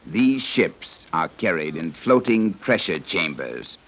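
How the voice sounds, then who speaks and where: An actor playing a stern, formal guide from a hidden advanced civilization in a 1930s radio serial, explaining things precisely. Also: male voice, low-pitched, big pitch swings, takes it slowly, fairly bright tone, highs cut off, exaggerated voice. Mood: formal, matter-of-fact. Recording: noisy old 1930s radio recording.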